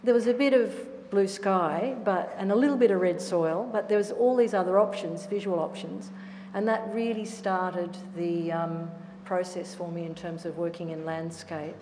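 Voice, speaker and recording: A woman's voice: fairly low pitch, moderate pace. A middle-aged woman, a painter, speaking reflectively and self-deprecatingly on a gallery panel. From Australia. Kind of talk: panel discussion answer. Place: art gallery room. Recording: reverberant hall with faint noise.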